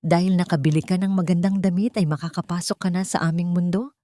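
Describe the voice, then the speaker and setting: A woman voicing a haughty, scornful elderly rich matron who scolds loudly and slowly. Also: on the low side, steady tone, no echo, clean studio sound, close to an everyday voice. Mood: contemptuous. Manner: sneering, loud, slow scolding.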